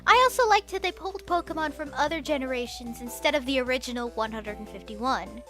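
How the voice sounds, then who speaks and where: A young woman reading a scripted movie review as a voice-over, enthusiastic and expressive with casual slang. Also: high-pitched, quick pace, faint music behind.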